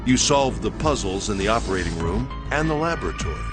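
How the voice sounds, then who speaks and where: An actor voicing a composed, courteous older gentleman in a video game, speaking in formal, measured phrases. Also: lowish voice, big pitch swings, over music. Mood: composed, polite. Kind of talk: English video game voice acting.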